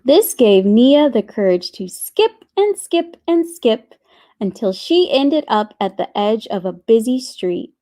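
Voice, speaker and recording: Slow, deliberate woman storyteller. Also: on the high side, big pitch swings, mellow. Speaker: children's storyteller. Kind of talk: scripted storybook narration.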